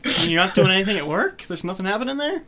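Male podcast host, lively swinging pitch, forceful bright tone, teasing and sarcastic in loose group banter. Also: fast talker. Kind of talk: casual group chat.

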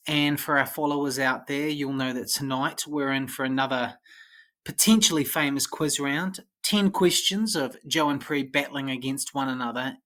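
A man's voice: medium pitch, pushed and bright. A man hosting a podcast, casually teasing his co-hosts, swearing, and introducing the segments. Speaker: podcast host and quizmaster. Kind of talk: casual chat.

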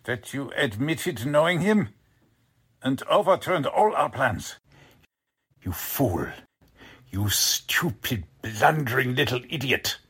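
An actor playing a cold, contemptuous Nazi spy commander in 1940s radio drama style, husky, deliberate and commanding. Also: male voice, medium pitch, animated, fairly bright tone, heavily acted.

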